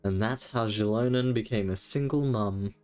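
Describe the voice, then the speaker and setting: A male game streamer with a fairly low voice talks casually through his play. He rambles and thinks aloud, with soft music behind him. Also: moderate pace, clear, resonant tone. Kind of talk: casual live gameplay commentary.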